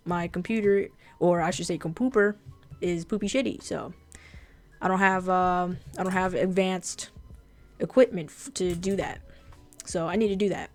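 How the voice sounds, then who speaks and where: A woman whose first language is Japanese, with a fairly deep voice, chatting casually and bluntly on a drawing livestream, swearing freely. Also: steady tone, moderate pace, soft background music. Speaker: streamer and artist.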